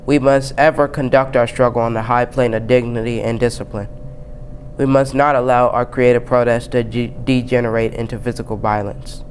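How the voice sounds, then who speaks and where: A young male student reads a formal speech aloud. His delivery is flat and even in pitch, at a medium register. A faint rumble sits in the background. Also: moderate pace. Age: young teen, 7th grade student. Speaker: student reader. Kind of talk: reading a famous speech aloud.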